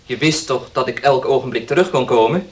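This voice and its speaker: An actor in a Dutch radio play as a wary baker, speaking directly and guardedly. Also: male voice, medium pitch, brisk, slightly rough, close to an everyday voice. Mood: brisk, suspicious. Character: wary, blunt baker defending his honest father.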